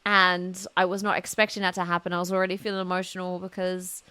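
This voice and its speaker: A woman's voice: fairly low pitch, little pitch movement, fast, pushed and bright, clear, resonant tone. A woman game streamer chatting casually to her viewers as she reacts live to a story.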